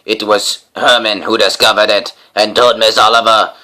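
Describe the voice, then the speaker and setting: Deep-voiced man reading a storybook dramatically. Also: flat delivery, normal speed, bright, forceful tone. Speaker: storybook reader, host.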